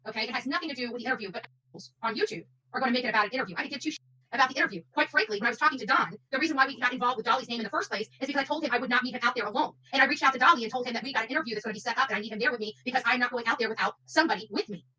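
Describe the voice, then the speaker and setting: Fast-talking, high-voiced woman with husky edge. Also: sharp tone, low-quality recording. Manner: emphatic, repeats phrases for stress. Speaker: livestream commentator. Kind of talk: unscripted livestream commentary.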